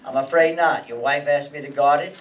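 A man's voice: a little high, brisk, background noise, close to an everyday voice. An older man playing a hard-boiled, sardonic private detective and narrator in a radio play, terse and matter-of-fact. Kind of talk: old-time radio acting and noir narration. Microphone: stand microphone on stage, heard from audience. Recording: live stage pickup from far off, music.

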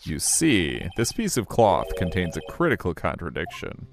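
A man's voice: low-pitched, huge pitch swings, moderate pace, vocal fry, over music, full character voice. A man voicing an earnest, determined young defense lawyer in a courtroom game, firm and accusing. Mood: confident.

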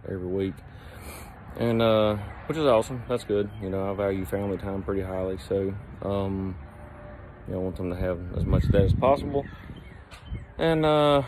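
A man's voice: deep voice, darkish tone, slight background noise. A man, filming himself with a phone outdoors on a job site, talking in an easygoing, rambling way about running his plumbing company. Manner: easygoing, rambling talk with fillers. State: sore back from heavy lifting. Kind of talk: casual selfie vlog. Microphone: phone at arm's length.

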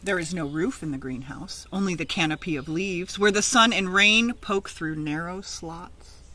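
A middle-aged woman reads a children's picture book aloud outdoors, with expressive, emphatic, sing-song phrasing. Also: lowish voice, normal speed, slight background noise. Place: outdoors in a leafy garden.

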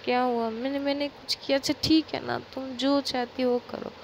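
Pleading, stammering young woman, voiced by a woman narrator, slightly raised pitch, faint hiss behind. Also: little pitch movement, moderate pace, creaky. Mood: frantic. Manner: stammering, begging, repeating words.

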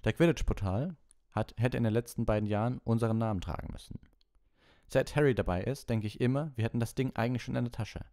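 A man's voice: medium pitch, warm tone, no echo, clean studio sound, pitched above the performer's own voice, natural voice. Man acting an intense, desperate young team captain. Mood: dejected, urgent. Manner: emphatic, slow stressed phrases. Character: intense, desperate schoolboy team captain giving pep talk. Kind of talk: audiobook character voice.

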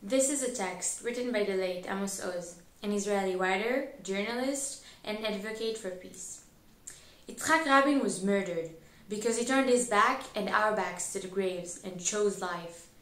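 A teenage female high school student reciting a written text in an echoey room with plain walls. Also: unhurried, fairly bright tone. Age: teens. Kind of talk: poetry recitation. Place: indoors against a plain wall.

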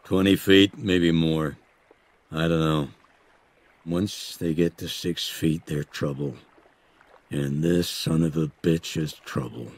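A man voicing a blunt, gruff older shark fisherman who curses, in an audiobook reading. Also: extremely low pitch, steady tone, slow, no room sound, pitched below the performer's own voice, full character voice. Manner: blunt, curt, cursing. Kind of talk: audiobook character voice.

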